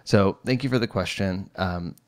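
A man's voice: low-pitched, normal speed, a little mellow. A middle-aged man hosting a solo podcast, talking casually and rambling with lots of fillers and restarts. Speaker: podcast host, psychologist.

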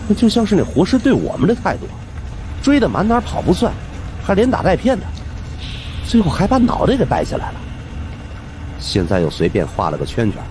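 A man's voice: a little high, warm tone. A man narrating supernatural suspense fiction as a dramatic audiobook reader. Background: background music.

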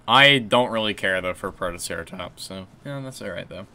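Deep-voiced young man streaming casually. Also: a little fast, pushed and bright. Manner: low-key, rambling with fillers and restarts. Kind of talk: casual gaming stream chat.

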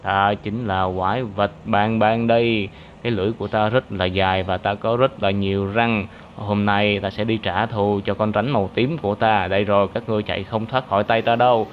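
A man voicing a big, vengeful toothy monster with gloating, drawn-out threats in a children's cartoon. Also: fairly low pitch, steady tone, muffled recording, a little hiss or hum, voice pushed down, lightly acted. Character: big blue long-tongued toothy monster, vengeful, cruel.

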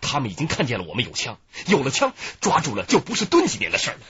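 An actor playing a ruthless, commanding gang boss in a radio crime drama, coarse and coldly mocking, with a husky voice. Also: male voice, medium pitch, huge pitch swings, rapid-fire, fairly bright tone, no echo, highs cut off, exaggerated voice. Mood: cold, menacing. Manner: commanding, coarse, falsely reassuring.

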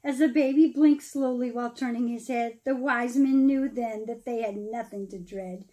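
A middle-aged woman with a notably high-pitched voice reads a rhyming picture book for children. Her delivery is theatrical and emphatic, with deliberate pauses between phrases. She sounds like a storyteller addressing young listeners directly. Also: fairly even intonation, dark tone. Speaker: pastor reading a children's story. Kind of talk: expressive children's storybook read-aloud in rhyme.

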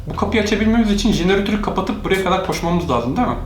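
Man, rapid speech, casual let's-play host, move-by-move narration, roomy echo. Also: high voice, animated, soft background music. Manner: narrates each move to viewers. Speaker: gaming channel host, parent playing with child. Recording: echoey room, faint noise.

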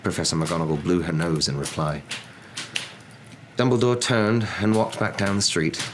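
Low-voiced man reading a story, noisy background. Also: normal speed. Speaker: narrator reading a novel aloud. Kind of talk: hushed ASMR storybook narration. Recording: noticeable background noise.

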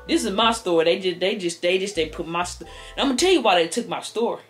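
A woman's voice: mid-range voice, expressive, quick pace, natural voice. A woman playing a sassy old American grandmother, stammering and repeating herself as she tells folksy tall tales on camera. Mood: rambling, sly. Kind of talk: improvised comedic storytelling. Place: living room couch, indoors. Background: background music.

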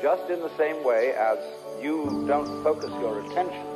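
A man giving a slow, musing philosophical talk, played as an archive clip. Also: on the high side, animated. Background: loud music.

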